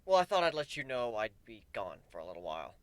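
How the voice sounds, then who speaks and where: A man voicing an awkward, hesitant male pegasus pony with a sheepish, halting way of talking in a fan fiction reading. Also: mid-range voice, sing-song, no room sound, lightly acted. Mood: sheepish, flustered.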